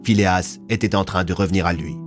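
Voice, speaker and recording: Low-voiced male audio fiction narrator. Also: fairly even intonation, a little fast, over music.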